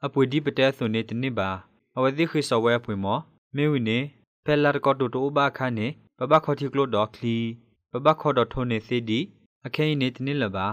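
A man's voice: medium pitch, steady tone, moderate pace, a bit bright, no room sound, clean studio sound. A man presenting scripted news as a radio newsreader.

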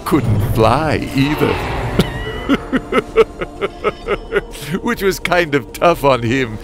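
Male actor for a weary driver father, brooding narration, lively in pitch. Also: exaggerated voice. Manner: slow, brooding storyteller narration. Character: weary guilt-ridden stunt driver father. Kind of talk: narrated motion comic. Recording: over loud music score.

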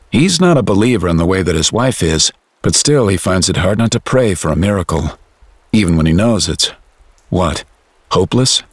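Deep guy doing dramatic story narration, measured n thoughtful, bit of music under it. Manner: measured, literary, reflective.

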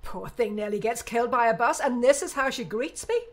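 A woman's voice: a little high, brisk, close to an everyday voice. A woman playing a doting, fussing middle-aged mother in an audiobook drama, speaking with emotion. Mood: indignant.

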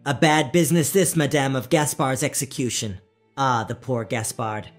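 A man voicing a sly male spy who speaks softly and flatteringly, trying to win confidence. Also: mid-range voice, moderate pace, soft background music, voice pushed up, lightly acted. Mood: ingratiating.

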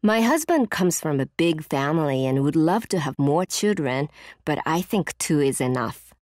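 Busy practical mum, played by a woman, low voice, learner-audio small talk, dry close sound. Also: very animated, normal speed, a little mellow, dry recording. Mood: matter-of-fact. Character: busy, matter-of-fact mother of two. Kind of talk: scripted small talk for an English listening exercise.

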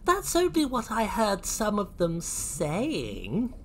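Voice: mid-range voice, wildly swooping pitch, slow pace, a bit bright, slight background noise, pitched above the performer's own voice, exaggerated voice. A storyteller voicing a small, naughty male nautiloid, drawing out words in sly, falsely sympathetic wheedling for a children's story. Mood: wickedly insinuating.

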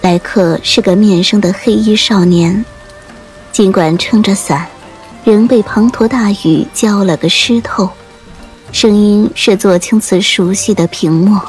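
A woman's voice: mid-range voice, unhurried, darkish tone. A woman narrating an audiobook novel in scripted, atmospheric storytelling. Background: rain and faint music.

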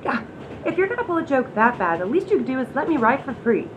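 A woman's voice: big pitch swings, fast. A woman acting a scatterbrained, cheeky train passenger who dodges questions in a radio comedy. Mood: evasive, cheeky. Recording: muffled recording over loud train rumble.

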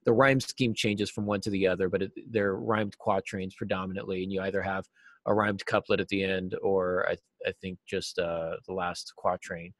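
A middle-aged man with a fairly low voice talks as a guest in a casual interview. He explains his craft through examples, a little self-deprecating. The sound is dry and close, with little room in it. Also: little pitch movement, normal speed, no room sound. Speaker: interview guest, novelist and writing teacher. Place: small cluttered office, indoors. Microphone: video call, seated at a desk.

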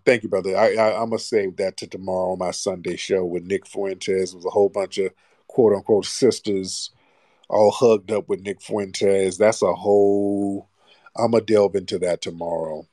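A man hosts a live call-in show and mocks his callers in a loose, rambling way. His voice sits low. The sound is dry and close, with no room echo. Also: a little mellow. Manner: teasing, mocking, rambling. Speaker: livestream host taking callers. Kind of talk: casual call-in chat.

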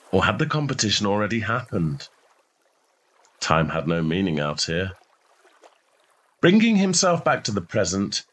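A man narrating a short story in a measured, expressive literary reading style. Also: lowish voice.

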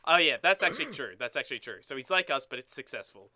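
A man's voice: medium pitch, lively intonation, fast talker, pushed and bright, no room sound. A young man co-hosting a gaming video with casual, playful banter and crude jokes. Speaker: let's play co-host.

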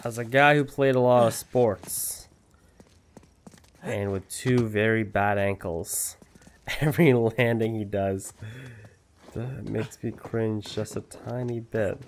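A man's voice: on the low side, steady tone, slow pace, a little hiss or hum. A man giving casual gameplay commentary, chatty and self-mocking, guessing aloud. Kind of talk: casual let's-play commentary.

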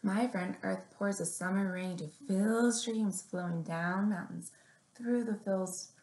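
Slow, creaky young woman reading to children. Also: mid-range voice, darkish tone. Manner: measured, deliberate read-aloud delivery with simple instructions. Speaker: children's librarian, story time host. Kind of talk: picture-book reading and finger plays for young children. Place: small room indoors at home or office. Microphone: webcam or laptop mic at arm's length. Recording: roomy, echoing webcam sound.

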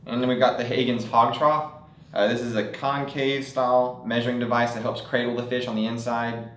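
A man's voice: medium pitch, level tone, a little fast, fairly bright tone, roomy sound, slight background noise. A young man presenting fishing products at a table in a studio, talking casually with frequent fillers. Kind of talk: product demonstration.

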